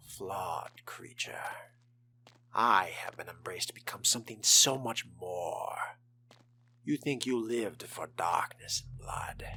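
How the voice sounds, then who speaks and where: A man voicing a haughty fledgling vampire in a condescending, theatrical game roleplay monologue, speaking deliberately and often dropping to a whisper. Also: low voice, gravelly, noisy background, heavily acted. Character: haughty fledgling male vampire exploring a haunted hotel. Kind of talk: in-character roleplay monologue over a video game. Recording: music under the voice.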